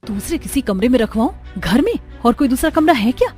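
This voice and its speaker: A woman's voice: high-pitched, animated, fairly quick, darkish tone, faint music behind. A woman voicing an aggrieved, argumentative young daughter-in-law in a Hindi family cartoon, with a nagging, indignant manner.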